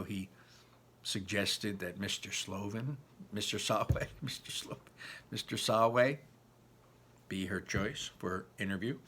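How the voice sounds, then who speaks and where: A man reading a novel aloud in an expressive, emphatic, informal way, like a teacher, with personal asides. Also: mid-range voice.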